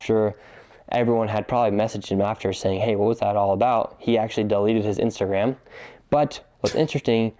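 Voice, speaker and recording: Guy with a lowish soft warm voice, steady earnest narration for an online vid. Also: a little fast. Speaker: online commentator. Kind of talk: scripted video narration.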